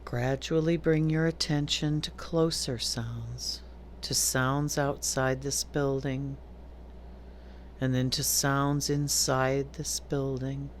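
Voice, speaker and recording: A woman teaching yoga nidra, giving calm, deliberate guided meditation instructions with long pauses between phrases. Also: very deep voice, slight background noise.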